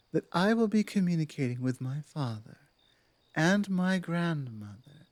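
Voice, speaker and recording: A female werewolf pack leader played by a woman in an ASMR audio roleplay, commanding yet softening. She talks slowly and earnestly, pausing to weigh her words. The sound is dry and close. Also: rumbling low, no echo, heavily acted. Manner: measured, hesitant, earnest, with thoughtful pauses.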